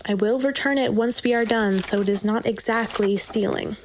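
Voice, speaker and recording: An actress playing a brisk, self-assured, scheming young witch in a period audio drama, matter-of-fact in manner. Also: a little high, slight background noise, lightly acted. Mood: smug, determined.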